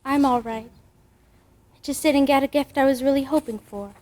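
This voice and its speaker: A skeptical little girl voiced by a woman in old-time radio style. The voice is high, the delivery plain-spoken and a bit sulky. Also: steady tone, moderate pace, a little mellow, close to an everyday voice. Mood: disappointed, doubting.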